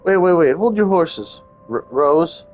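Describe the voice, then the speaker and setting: A man's voice: fairly high pitch, lively intonation, unhurried. A man doing casual let's-play commentary and reading narration aloud, with wry, sarcastic asides. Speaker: game streamer playing a visual novel. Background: game background music.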